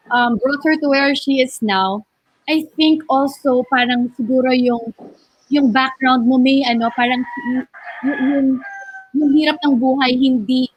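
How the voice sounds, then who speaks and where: A woman's voice: sits high, no room sound, low-quality recording. A woman with a Filipino accent co-hosting a talk show, asking friendly questions while searching for words. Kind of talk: hosting a talk show, mixing Tagalog and English.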